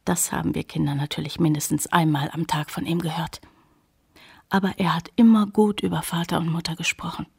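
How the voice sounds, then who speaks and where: A reflective woman narrator in a radio play. The voice sits a little low for a woman and has a marked creak. The delivery is measured storytelling, looking back thoughtfully. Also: steady tone, exaggerated voice.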